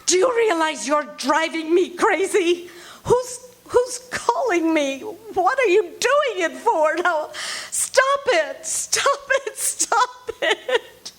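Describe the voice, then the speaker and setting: A woman's voice: very high voice, animated, takes it slowly, heavily acted. An actress playing a nervous, demanding, self-pitying bedridden woman in a 1940s-style thriller radio play, fretful and melodramatic. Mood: frantic. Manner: imperious, fretful, querulous, melodramatic. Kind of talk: live stage radio drama acting, 1940s thriller.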